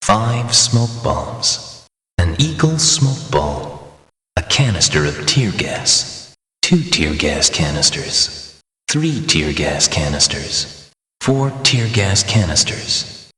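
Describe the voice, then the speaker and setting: Deep-voiced man announcing in heavy reverb. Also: very animated, slow, pushed and bright, soft background music. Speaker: video game item announcer. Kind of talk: scripted item-list narration.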